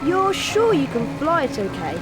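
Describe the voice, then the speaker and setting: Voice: high voice, wildly swooping pitch, normal speed, rough recording. An actor playing a polite, earnest, cautious young boy wizard with an English accent in a video game. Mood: uneasy. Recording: music loud behind the voice.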